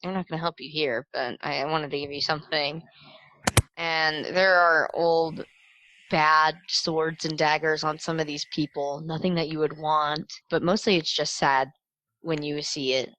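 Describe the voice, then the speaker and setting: A woman's voice: low-pitched, fairly even intonation, unhurried, bright, forceful tone, no room sound, muffled recording. A woman narrating a tabletop game as game master in an informal, joking, conversational way.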